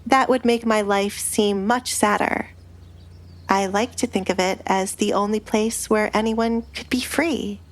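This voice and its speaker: A woman plays a young noblewoman in an audio romance drama, pitched a little above the narration. She speaks softly and evenly, with dry, quiet defiance, over background music. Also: steady tone, a little slow, lightly acted. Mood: wistful.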